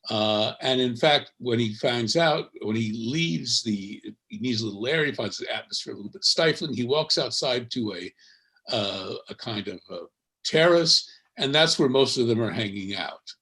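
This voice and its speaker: An older man, a history professor, explaining at length on an academic panel with a measured, lecturing delivery and frequent fillers. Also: medium pitch, animated, pushed and bright.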